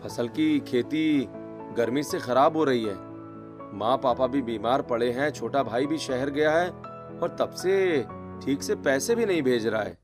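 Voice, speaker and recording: A man's voice: medium pitch, a little slow, music in the background, voice pushed down, close to an everyday voice. A man voicing a weary, burdened village farmer in a dramatized Hindi story. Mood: worried.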